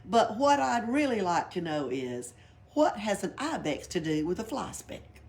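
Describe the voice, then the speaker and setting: A woman's voice: mid-range voice, very animated, a little slow, a little mellow, voice pushed up. A woman reading a storybook, voicing a boisterous, cheeky young girl who tells tall tales in dramatic exclamations. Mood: skeptical, cheeky. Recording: background noise, library read-aloud.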